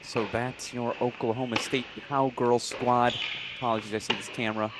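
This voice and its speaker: A man doing play-by-play commentary for a college tennis broadcast, brisk and informative, with brief apologetic asides. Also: medium pitch, little pitch movement, noisy background.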